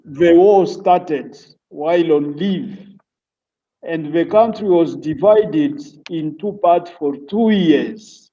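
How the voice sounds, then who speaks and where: Slow-speaking Congolese man, muffled distant call. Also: on the high side, warm tone. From Democratic Republic of the Congo. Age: middle-aged. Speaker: family physician, interview guest. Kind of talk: recounting his career in an interview. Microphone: online call on a weak connection.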